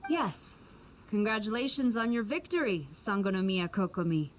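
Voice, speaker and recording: A woman's voice: medium pitch, expressive, moderate pace, close to an everyday voice. A woman voicing a stern, dignified female general in an anime game, reserved and formal.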